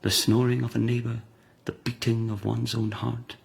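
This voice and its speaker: Gravelly, courtly retired emperor. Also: male voice, medium pitch, little pitch movement, normal speed, a little mellow, heavily acted. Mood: sly, flattering. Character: suave retired emperor turned monk, courteous and sly. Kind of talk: radio drama acting.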